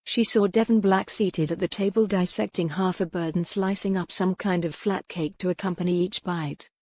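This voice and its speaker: Woman reading a romance audiobook, slightly low voice, really dry close recording. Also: fairly even intonation, normal speed, a little mellow, no room sound. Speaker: audiobook narrator. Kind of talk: scripted narration of historical romance novel.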